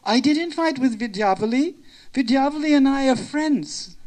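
A woman's voice: high-pitched, animated, normal speed, darkish tone, voice pushed up. A woman voicing a crafty young woman in a story, teasing and insistent with a mock-sweet manner. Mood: mock-innocent.

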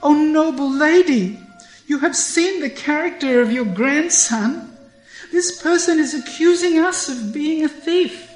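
Woman as an indignant young girl, high pitch, earnest protest of innocence, storybook tale. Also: animated, slow, roomy sound, soft background music, rough recording. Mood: complaining.

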